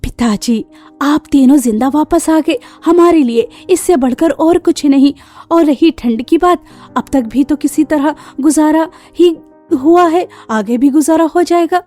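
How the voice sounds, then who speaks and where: Woman as a soft, earnest young daughter-in-law. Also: high voice, unhurried, faint music behind. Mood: consoling. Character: poor young daughter-in-law, gentle, worried and pleading.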